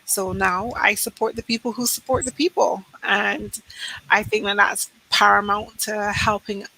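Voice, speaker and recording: A woman host from Manchester talks in a friendly, earnest way. Her voice is bright and forward. Now and then she gets a little tongue-tied mid-sentence. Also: medium pitch, little pitch movement, a little slow, gravelly, low-quality recording. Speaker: co-host, HR consultant. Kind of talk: casual podcast chat.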